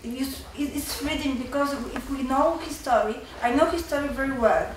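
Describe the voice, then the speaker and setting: A young woman with a Polish accent, a student giving nervous, halting remarks at a seminar with many restarts. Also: sits high, heavy reverb, recorded from a distance, a little hiss or hum, poor audio. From Poland. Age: young adult. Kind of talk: nervous public remarks in a second language.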